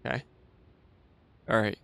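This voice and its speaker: A young man giving casual, expressive let's play commentary with some swearing, close on a desk microphone. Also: a little slow. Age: young adult. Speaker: gaming video host. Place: small indoor gaming room. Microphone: close boom-arm desk microphone. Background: game audio and music.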